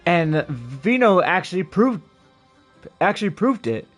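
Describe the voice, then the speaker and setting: High-voiced man chatting on a game stream. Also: very animated, a little slow. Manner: rambling, repeats phrases. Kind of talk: casual livestream chat over gameplay. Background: game music.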